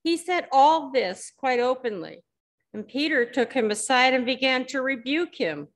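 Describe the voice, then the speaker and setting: An older woman reads scripture aloud in a church sanctuary. Her voice sits a little high, and her delivery is measured, picked up by a webcam a short distance away. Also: animated. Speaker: scripture reader.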